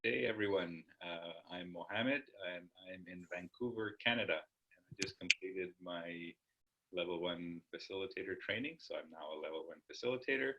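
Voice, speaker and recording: A man's voice: low voice, moderate pace, pushed and bright. A man, a facilitator, casually introducing himself on an online group call with dull audio.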